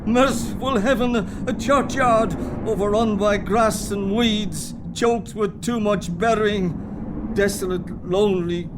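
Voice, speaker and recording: A man acting a frightened, repentant old miser in a radio play, halting and pleading. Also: sits high, heavy background noise.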